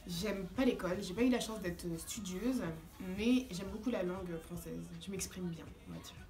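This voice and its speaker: A woman in her twenties from France, talking casually and calmly as a vlogger. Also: medium pitch, normal speed, roomy sound, faint music behind. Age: 20s. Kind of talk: casual chat.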